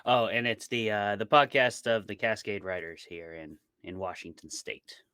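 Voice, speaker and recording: A man's voice: on the low side, fairly even intonation, normal speed, sharp tone, clean tone, no room sound, studio quality. A man from Montana with an American accent, chatting casually and with self-deprecating humor as an interview guest. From small town in Montana, United States. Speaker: interview guest, horror writer. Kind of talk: casual conversational interview answers.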